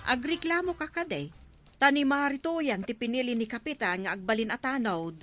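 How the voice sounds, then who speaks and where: A woman's voice: on the high side, animated, moderate pace, fairly bright tone, soft background music. An actress playing a gossipy, exclaiming village woman in an Ilocano radio comedy drama, with an Ilocano accent. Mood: egging on. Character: lively gossipy village woman, eagerly egging others on.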